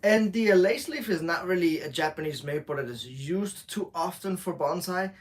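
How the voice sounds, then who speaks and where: A man from Switzerland, co-owner of a bonsai shop, explaining a tree during a live demo in a relaxed way, with fillers and restarts. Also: sits high, normal speed.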